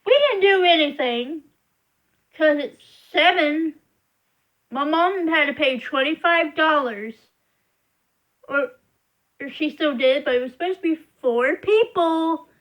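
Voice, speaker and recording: Young woman, high voice, slow unhurried delivery, frustrated rambling vlogger. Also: fairly bright tone, low-quality recording. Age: young adult. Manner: frustrated, rambling, emphatic complaining. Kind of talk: casual venting monologue.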